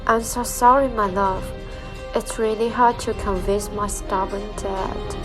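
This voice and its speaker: A woman's voice: medium pitch, fairly even intonation, slow, over music, lightly acted. A woman playing a lovelorn young daughter, plaintive and pleading, in an amateur radio drama. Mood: sad.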